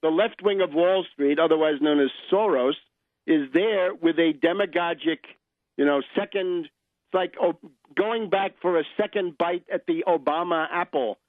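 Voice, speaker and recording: A man's voice: on the high side, moderate pace, no room sound. An American man hosting political talk radio, giving opinionated, sardonic, professorial commentary and often tagging points with "right?". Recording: narrow-band phone line on radio.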